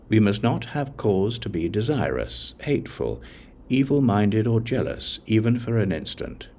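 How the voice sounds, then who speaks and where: A man reads scripture as a scripted audiobook narration, in a measured, solemn reciting manner. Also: sits low, a little mellow, a little hiss or hum. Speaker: audiobook reader.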